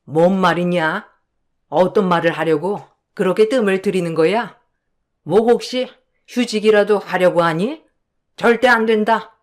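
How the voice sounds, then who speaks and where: A woman voicing a snobbish older mother-in-law who talks in a sharp, scolding, dismissive way. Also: mid-range voice, measured pace, natural voice. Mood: suspicious. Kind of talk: dramatized storytelling.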